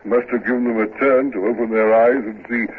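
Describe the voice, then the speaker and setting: An actor playing a steady, loyal naval captain in a vintage radio drama, giving plain reports and calling gun orders. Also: male voice, medium pitch, a little fast, natural voice. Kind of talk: 1950s radio drama acting. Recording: tinny noisy old radio recording.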